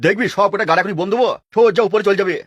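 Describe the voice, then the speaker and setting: Small-time cartoon thug played by a man: brash, short-tempered, high male voice, racing delivery. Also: rapid-fire, no echo, studio quality. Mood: irritated, threatening. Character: brash, irritable small-time gangster who threatens people. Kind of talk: amateur Bengali cartoon dubbing.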